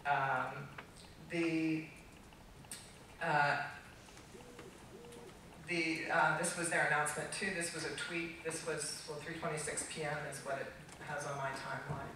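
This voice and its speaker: In a very echoey hall, heard from a distance, a middle-aged woman with an American accent gives a conference talk. Her voice is bright and forceful. She speaks off the cuff in an emphatic, halting way, often restarting a phrase. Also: sits low, fairly even intonation. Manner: halting, emphatic, with repeated false starts. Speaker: conference keynote speaker, trademark attorney. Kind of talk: public talk to an audience, unscripted. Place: large dark auditorium stage, recorded from far off. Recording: echoey hall, distant microphone, faint noise.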